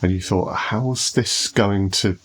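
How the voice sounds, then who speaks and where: A man's voice: on the low side, slow pace, a bit bright. A man hosting a casual panel discussion, with a teasing, dryly humorous way of talking. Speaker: podcast host.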